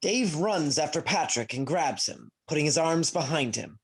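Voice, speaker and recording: A narrator reading stage directions aloud at a comedy table read in a husky voice. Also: medium pitch, expressive, moderate pace. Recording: video call.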